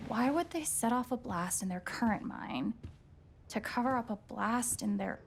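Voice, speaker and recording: An actress playing a wary, determined young woman in a video game, thinking aloud in a hushed, breathy, wry way. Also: on the high side, expressive, normal speed, slight background noise. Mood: uneasy, intent. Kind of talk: naturalistic video game acting.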